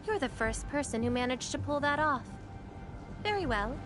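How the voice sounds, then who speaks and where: A woman's voice: high-pitched, expressive, normal speed. A woman playing an enigmatic, self-assured female companion in a video game, teasing and aloof, shifting between formal phrasing and flippant slang. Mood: solemn, self-assured. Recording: music under the voice.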